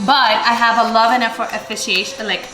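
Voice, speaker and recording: A woman's voice: fairly high pitch, steady tone, fast talker. A woman from the Dominican Republic hosting a casual cooking vlog in her home kitchen, chatting playfully with viewers and the kids around her. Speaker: cooking channel host. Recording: echoey kitchen with music playing.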